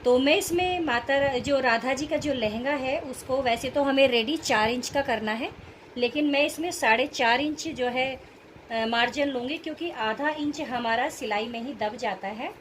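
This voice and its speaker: A high-voiced woman speaks with a sore throat. She explains things step by step in a casual tutorial manner, as the host of a craft video. Faint noise sits behind her voice in the recording. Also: normal speed, fairly bright tone. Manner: explanatory, instructional.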